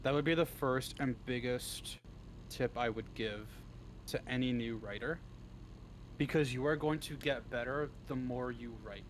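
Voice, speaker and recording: A man's voice: mid-range voice, a little slow, poor audio. A man speaking as a guest in a casual interview, with a laid-back, joking manner. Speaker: guest, hobby script writer. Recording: faint background noise on stream.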